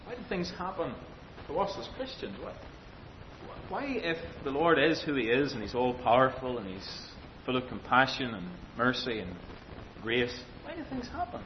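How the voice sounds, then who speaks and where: Preacher on a dull, lo-fi recording. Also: male voice, huge pitch swings. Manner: emphatic, deliberate phrasing with rhetorical questions and repetition. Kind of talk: expository sermon. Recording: dull lo-fi sound, faint rumbling noise.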